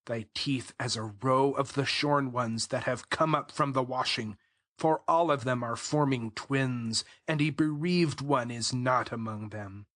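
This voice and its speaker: Casting: male actor for devoted bridegroom king, scripture drama. Unhurried, solemn, crisp bright tone. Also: mid-range voice, no room sound, clean studio sound. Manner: solemn, measured, archaic poetic phrasing. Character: King Solomon as tender adoring lover praising his bride. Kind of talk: dramatized scripture reading, bedtime poetry.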